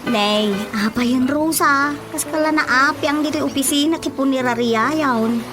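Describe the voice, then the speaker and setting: An actress playing an excitable, gossipy neighbour woman in an Ilocano radio drama, full of exclamations. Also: high voice, normal speed, close to an everyday voice. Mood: chatty concern. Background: prominent background music.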